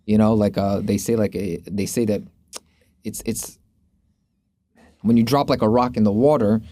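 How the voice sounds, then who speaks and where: A male podcast host with a fairly low, mellow voice. He chats informally and rambles, circling back over his phrases, and the sound is dry and close. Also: steady tone, normal speed, no room sound. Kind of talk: casual sports podcast chat.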